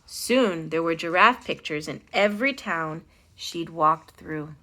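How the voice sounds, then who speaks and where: Bright-voiced woman reading a children's story. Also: sits low, measured pace. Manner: animated, dramatic storytelling with stressed phrases. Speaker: storytime host reading a picture book. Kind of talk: expressive children's read-aloud.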